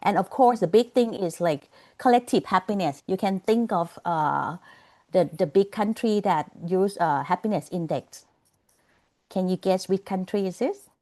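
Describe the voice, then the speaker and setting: A woman's voice: mid-range voice, animated, measured pace, dark tone. A woman giving an online class over a video call, chatty and laughing often, checking in with "okay?" and "you know". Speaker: lecturer.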